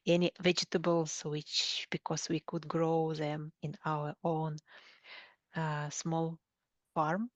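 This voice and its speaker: A woman with a Russian accent speaks slowly in a fairly low voice, pausing to search for words as she answers interview questions. Her pitch stays even, and the tone is conversational. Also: creaky, no room sound, dull audio. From Siberia, Russia. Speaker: coach, interview guest.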